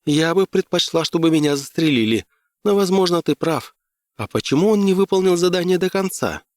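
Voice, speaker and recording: A man's voice: a little high, expressive, a little fast, dry recording, clean studio sound, pitched above the performer's own voice, natural voice. A man voicing an anxious woman trekking client in an audiobook story. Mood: skeptical. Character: anxious young woman client on an Antarctic trek.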